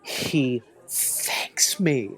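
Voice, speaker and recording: A woman's voice: very deep voice, expressive, extremely slow, fairly bright tone, slightly rough, heavily acted. A woman playing a gossipy, easygoing guard in an audio drama, with a wry, casual, modern delivery.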